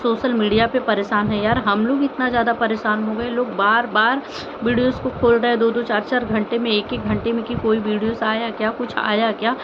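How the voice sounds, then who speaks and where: High-voiced woman vlogger over muffled, noisy audio. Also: level tone, a little fast. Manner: earnest, pleading, repeats phrases and rhetorical questions. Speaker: vlogger commenting on online family drama. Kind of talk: informal opinion commentary addressed to viewers.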